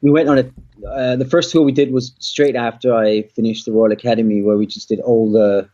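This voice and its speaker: A man from Denmark in his thirties chats as a musician guest, his pitch sitting at a middling level. He talks in a loose, rambling way, full of ums and restarts. The recording sounds dull and lo-fi. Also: little pitch movement, brisk, mellow. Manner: rambling, many fillers and restarts. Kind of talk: casual interview chat. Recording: dull lo-fi audio.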